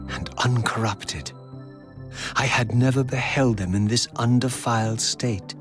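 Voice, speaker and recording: A man's voice: mid-range voice, natural voice. A man playing a solemn, vengeful undead wraith in a video game, with formal, theatrical diction. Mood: grave, reverent. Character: vengeful, solemn male undead wraith narrating his quest. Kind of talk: scripted dramatic video game narration and dialogue. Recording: background music under the voice.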